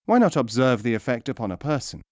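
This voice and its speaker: A man narrates an audiobook of philosophical teaching tales in a medium-pitched voice. His delivery is measured and instructive, with emphasis placed on key words. The sound is dry, with hardly any room on it. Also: big pitch swings, darkish tone, no echo.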